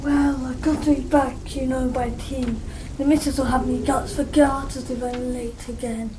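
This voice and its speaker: A woman's voice: high voice, little pitch movement, noisy background. A woman acting a pleading, bleeding railway ghost. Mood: mournful, dazed. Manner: pleading, drawn-out delivery. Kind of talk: amateur audio drama acting.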